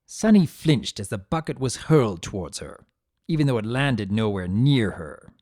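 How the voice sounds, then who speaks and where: Story narrator, mid-pitched, mellow, very animated, theatrical, dry close recording. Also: normal speed, no room sound. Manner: theatrical, expressive. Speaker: narrator of a fan fiction reading. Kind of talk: dramatic scripted narration.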